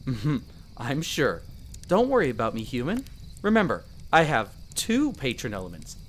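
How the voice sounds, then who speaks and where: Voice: medium pitch, wildly swooping pitch, moderate pace, a little hiss or hum. A performer playing a friendly, protective young elf explorer in a roleplay audio drama, chatty and reassuring, speaking to the listener. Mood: warm, reassuring.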